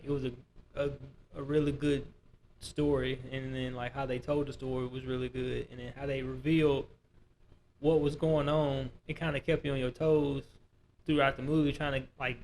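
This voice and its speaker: A man with a slightly high voice chats as a podcast co-host. His talk is informal and loosely phrased, recorded over faint background noise. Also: poor audio. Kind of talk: casual film discussion.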